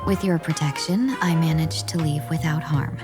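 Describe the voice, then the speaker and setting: A woman's voice: low-pitched, fairly even intonation, moderate pace, over music, close to an everyday voice. A woman playing a composed, careful young female agent in a video game, speaking in a formal, polite and wary way. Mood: grave, thoughtful. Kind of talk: video game story dialogue.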